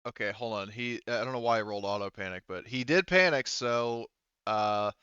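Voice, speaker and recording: A man refereeing a casual tabletop game as game master, halting and thinking aloud as he checks rules. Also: lowish voice, pushed and bright, clean tone, no room sound, dull audio.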